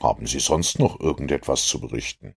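A man voicing a calm, commanding, analytical male master detective in an audiobook, measured and deliberate. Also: a bass voice, gravelly, slightly rough, pitched below the performer's own voice, full character voice. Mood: composed, deliberate. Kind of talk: audiobook character dialogue.

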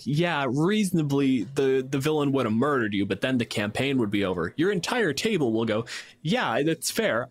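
A man's voice: medium pitch, no room sound. Canadian man in dry, close sound. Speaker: podcast co-host and game master. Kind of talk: casual podcast discussion.

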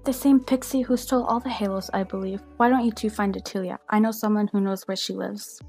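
A woman's voice: medium pitch, fairly even intonation, brisk, darkish tone, faint music behind, natural voice. A woman playing a knowledgeable scholar of magic in an amateur fan film, explaining things with assurance. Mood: assured.

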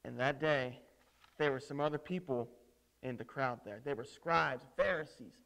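A man preaching to children in a dramatic storytelling style, emphatic, with theatrical pauses and rhetorical questions. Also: medium pitch, creaky, fairly bright tone, low-quality recording. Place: indoors at a lectern on a stage set.

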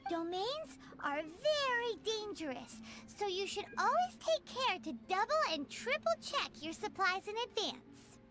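A woman's voice: extremely high pitch, heavily acted. A woman voicing a tiny, chirpy floating fairy companion in a video game, who talks about herself in the third person. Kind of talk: video game character dialogue. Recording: game audio with loud background music.

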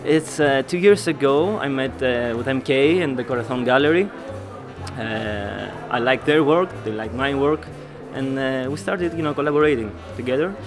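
Halting Greek-accented man over guitar music. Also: medium pitch. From Greece. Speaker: artist, interview guest. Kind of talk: on-camera interview. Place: indoor art fair booth. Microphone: handheld interview mic held out by interviewer.

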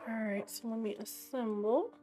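A woman with a raspy voice and a sore, scratchy throat, chatting casually while narrating her gameplay and thinking aloud. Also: a little high, steady tone, moderate pace, mellow, faint music behind. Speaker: game streamer.

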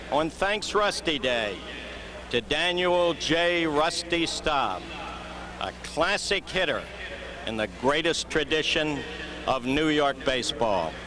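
An older man, a team's general manager, reading out a plaque in a formal ceremonial speech at a stadium mic. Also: fairly high pitch. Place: outdoor baseball stadium field. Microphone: mic on stand. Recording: outdoor ambience noise.

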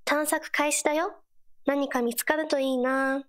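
An earnest teenage girl companion from a mobile game. The voice sits high and stays gentle. Short scripted lines come out supportive and encouraging. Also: steady tone, unhurried. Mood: curious, earnest. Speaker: game character voice actor.

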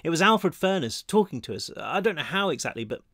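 A man's voice: fairly high pitch, sing-song, brisk, a bit bright, no echo, studio quality, heavily acted. A man voicing a wounded, weary teenage hero in an audiobook, with a drained, sardonic manner. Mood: distressed.

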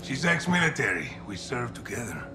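A man's voice: mid-range voice, big pitch swings, brisk, music in the background, close to an everyday voice. A man playing a fiery, principled army commander in a video game, with a Mexican accent and an intense manner. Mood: bitter. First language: Spanish. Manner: intense, hot-tempered. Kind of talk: cinematic game acting. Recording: dramatic score over the dialogue.

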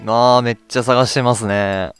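A man's voice: mid-range voice, steady tone, moderate pace. A man giving casual gameplay commentary, often murmuring questions to himself as he plays. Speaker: let's play host. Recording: game music behind the voice. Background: video game music.

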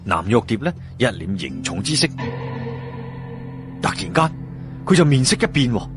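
Male Cantonese storyteller, Hong Kong accent, lively intonation, dramatic and colloquial, over clear background music. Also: medium pitch. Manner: expressive, colloquial, with drawn-out sentence particles. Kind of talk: dramatic audiobook-style fantasy story narration. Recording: music behind the voice.

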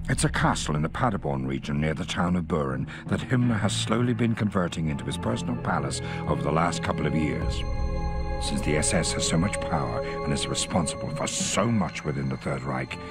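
An actor playing a grave, wry Allied intelligence officer giving a measured, authoritative mission briefing in a war video game. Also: male voice, extremely low pitch, gravelly, full character voice. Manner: measured, authoritative, dryly contemptuous of the enemy. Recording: music under the voice.

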